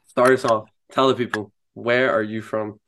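A young man hosting a podcast interview, asking questions in an excited, friendly way and cheering his guest on. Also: fairly low pitch, normal speed, a little hiss or hum. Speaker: podcast host.

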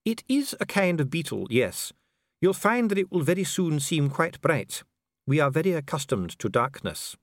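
A man voicing a calm dwarf official with a measured, bureaucratic, smoothly courteous manner in an audiobook. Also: on the high side, dry recording, clean studio sound, pitched above the performer's own voice. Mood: coolly polite. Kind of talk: audiobook character voice.

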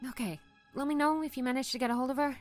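A woman's voice: high voice, very fast, faint music behind, close to an everyday voice. An actress playing a breezy, sassy young woman friend with a casual, concerned manner in a naturalistic audio drama. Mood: concerned, frank.

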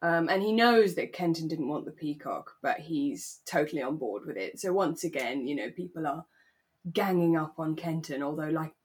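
Slightly low-voiced woman in relaxed podcast chat. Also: moderate pace, husky. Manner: conversational, checks in with co-host. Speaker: podcast co-host. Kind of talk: relaxed casual chat recapping a radio drama.

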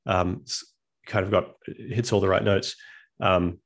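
Deep gravelly Australian man presenting. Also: steady tone, measured pace. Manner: friendly, self-deprecating, thinks aloud. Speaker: guest speaker, physicist. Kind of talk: online presentation with slides. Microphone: video call.

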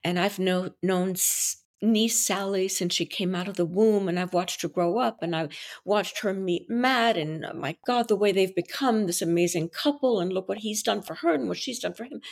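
A woman's voice: medium pitch, normal speed, gravelly. A woman from Belgium whose first language is French, a wedding coach and officiant explaining her work in a confident, theatrical podcast interview. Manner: expressive, theatrical delivery.

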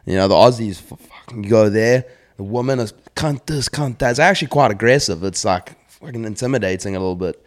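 A young man with a South African accent softened by an American twang, chatting casually, rambling loosely and swearing freely. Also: fairly low pitch, a little fast. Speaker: guest. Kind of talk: casual chat.

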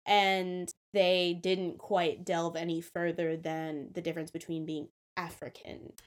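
A young woman with a somewhat low voice, speaking in casual conversation. She critiques carefully, hedging often and weighing both sides. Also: little pitch movement, a little slow. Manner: thoughtful, hedging, many "like" fillers.

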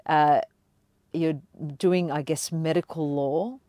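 A woman's voice: deep voice, steady tone, takes it slowly, warm tone, no room sound, studio quality. A woman hosting a podcast interview, encouraging her guest, talking with lots of hesitations and fillers. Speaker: podcast host. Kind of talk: conversational interview.

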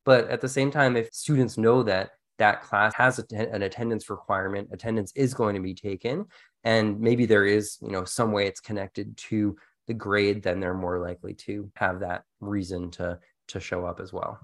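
A man's voice: on the low side, steady tone, normal speed. Conversational man co-hosting an educational podcast. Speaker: podcast co-host, instructional designer.

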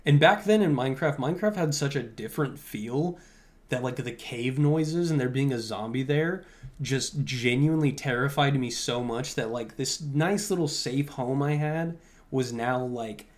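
A man's voice: mid-range voice, normal speed. Young man, casual rambling podcast talk. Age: young adult. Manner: informal, conversational, heavy use of "like". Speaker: podcast host.

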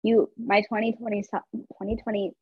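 Husky, bubbly young woman. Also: mid-range voice, brisk, no room sound. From Georgia, US. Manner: bubbly, excitable, talks with lots of fillers. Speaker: podcast co-host. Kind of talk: casual chat. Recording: dull lo-fi sound.

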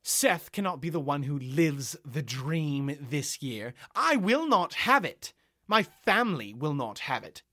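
A man voicing Chloe, an indignant, enraged woman who talks in a shrill, bossy, exasperated way. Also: big pitch swings, measured pace, pushed and bright, dry recording, studio quality, exaggerated voice. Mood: outraged.

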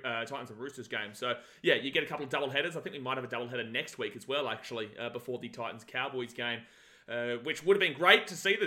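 A man's voice: medium pitch, bright, forceful tone. A man co-hosting a sports podcast, giving fired-up, passionate fan opinions. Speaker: podcast co-host. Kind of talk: casual sports podcast chat.

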